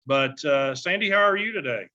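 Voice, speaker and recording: A man co-hosting a podcast, asking questions in a relaxed way with lots of fillers. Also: a little high, moderate pace, highs cut off. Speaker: podcast co-host. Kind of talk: casual podcast chat.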